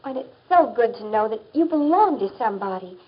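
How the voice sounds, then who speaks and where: A woman's voice: on the high side, expressive, fairly quick, lightly acted. An American woman acting as an assured teenage girl confidently advising her friend in a 1950s educational film. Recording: dull, muffled 1950s film soundtrack.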